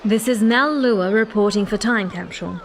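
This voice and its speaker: A woman narrating and playing a radio reporter, clear and announcing. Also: medium pitch, moderate pace, slight background noise, close to an everyday voice.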